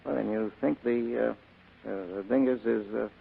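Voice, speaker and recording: An actor playing a tough, slangy private detective in a 1940s radio mystery. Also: male voice, medium pitch, normal speed, vocal fry, husky. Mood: groggy, stammering. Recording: tinny, noisy vintage radio broadcast.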